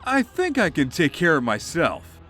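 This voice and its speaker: A man's voice: on the high side, wildly swooping pitch, moderate pace, sharp tone, soft background music, pitched above the performer's own voice, heavily acted. A man voicing a cocky, indignant Hollywood action star in a comic dub, brash and exclamatory. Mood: indignant bravado. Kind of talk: amateur comic dub voice acting.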